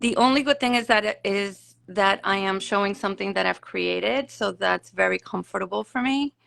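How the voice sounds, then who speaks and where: Gravelly-voiced woman from Puerto Rico. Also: medium pitch, moderate pace, fairly bright tone. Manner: chatty, self-deprecating, nervous. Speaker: guest crafter. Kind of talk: casual live chat.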